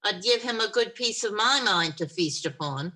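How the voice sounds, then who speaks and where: A woman playing a loving but sharp-tongued Victorian mother, emphatic and tart, in a radio play over a video call. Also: mid-range voice, animated, normal speed. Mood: indignant.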